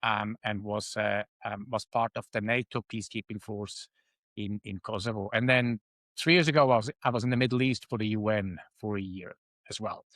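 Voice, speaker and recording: A man's voice: fairly low pitch, fairly even intonation, moderate pace, a bit bright, no echo. A man in his fifties from Switzerland with a Swiss accent, a guest talking reflectively with frequent ums and uhs. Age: 50s. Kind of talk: casual interview talk.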